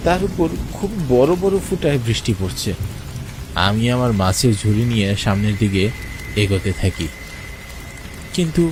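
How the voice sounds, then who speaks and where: A man's voice: on the high side, background noise. A man playing a frightened village fisherman, narrating a cartoon horror story in the first person with suspense and anxious urgency. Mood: frustrated, worried.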